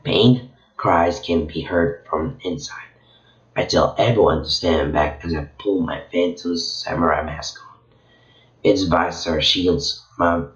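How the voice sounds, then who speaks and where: A man from Venezuela, hoarse from losing his voice, reading a story chapter aloud in a casual, halting way with frequent slips. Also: low voice. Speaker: channel host reading a story. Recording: echoey room, dull lo-fi sound.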